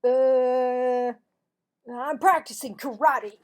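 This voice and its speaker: A woman's voice: high voice, very slow, a little mellow, voice pushed up. A woman voicing a young schoolboy in a storybook, an earnest and emphatic little brother who is easily exasperated. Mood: defensive.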